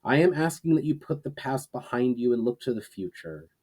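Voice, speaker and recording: A man voicing an earnest, solemn leader giving a measured, declamatory speech in a storybook reading. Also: medium pitch, lively intonation, darkish tone, close to an everyday voice. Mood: hopeful, earnest. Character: earnest, solemn male leader addressing assembled armies.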